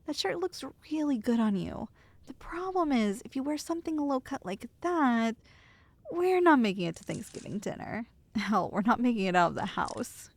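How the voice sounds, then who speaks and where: Woman acting as tender, teasing girlfriend, slightly creaky voice. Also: fairly high pitch, sing-song, moderate pace, no room sound. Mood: affectionate teasing. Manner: tender, playful, teasing, reassuring. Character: affectionate teasing girlfriend soothing her nervous partner. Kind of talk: ASMR roleplay, intimate acted monologue.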